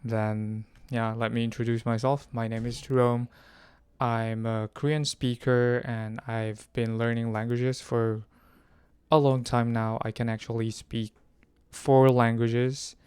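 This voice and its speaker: Clear-voiced young man rambling to camera. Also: mid-range voice, dry recording, studio quality. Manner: rambling, many fillers and restarts. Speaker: language-learning vlogger. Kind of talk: unscripted stream-of-thought talk to camera.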